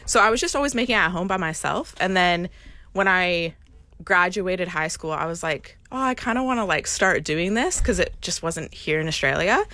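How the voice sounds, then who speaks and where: A young woman from Canada with a Canadian accent, chatting as a guest in a radio studio. She fills her talk with "like" and sounds easygoing. Her voice is bright and sits a little low for a woman. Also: lively intonation, normal speed, no echo. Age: young adult. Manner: chatty, many "like" fillers. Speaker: guest business owner. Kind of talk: casual radio interview.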